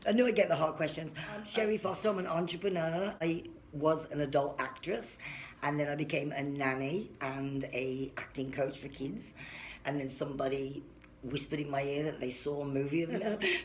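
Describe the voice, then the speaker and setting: A woman's voice: sits low, a little hiss or hum. A woman guest on a talk show, chatting casually about herself and laughing between lines. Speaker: guest, entrepreneur and YouTuber. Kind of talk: casual interview chat.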